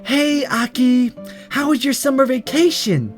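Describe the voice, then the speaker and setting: A man's voice: very high-pitched, unhurried, soft background music, voice pushed up. A man voicing a bubbly, chatty, airheaded teenage schoolgirl while reading a visual novel aloud. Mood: cheerful greeting. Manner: gushing, chatty.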